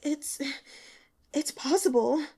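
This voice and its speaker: A woman's voice: high-pitched, expressive, slow pace, mellow, voice pushed up, full character voice. A woman voicing a shaken, hesitant young female student in an audiobook. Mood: evasive, hesitant. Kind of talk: audiobook character acting.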